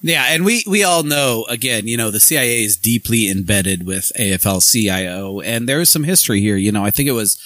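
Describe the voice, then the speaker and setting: A man's voice: lowish voice, brisk, few pauses, no room sound. A man co-hosting a casual political podcast, talking in a rambling way with restarts and reading passages from a book. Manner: rambling, restarts words, lively emphasis. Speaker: podcast co-host.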